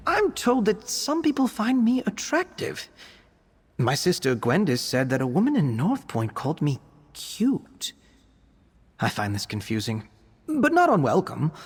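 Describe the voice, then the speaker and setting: A man playing an earnest, bookish young male vampire alchemist in a video game, speaking in an articulate, expressive way. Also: medium pitch, breathy edge, big-room sound. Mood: curious, bemused. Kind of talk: video game character voice acting.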